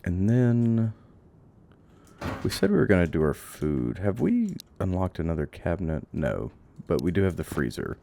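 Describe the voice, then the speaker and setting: A man giving casual gameplay commentary, thinking aloud in a halting, rambling way and swearing now and then. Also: deep voice, sing-song, creaky, warm tone. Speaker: game streamer. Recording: audible background noise, clicks.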